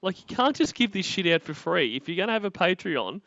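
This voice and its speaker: A man's voice: high-pitched, very animated, fairly quick, vocal fry, fairly bright tone, no room sound. A man cracking jokes and laughing often as co-host of a stream reaction. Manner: mocking, laughs a lot. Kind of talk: casual banter. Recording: dull, dry home mic.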